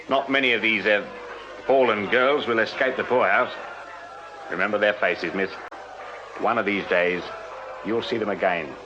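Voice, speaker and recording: An actor playing an unyielding Victorian police sergeant in a radio drama, English-accented, brisk, authoritative and dryly sardonic. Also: male voice, fairly low pitch, lo-fi sound, noisy background, natural voice. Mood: solemn, courteous. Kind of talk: full-cast period radio drama acting.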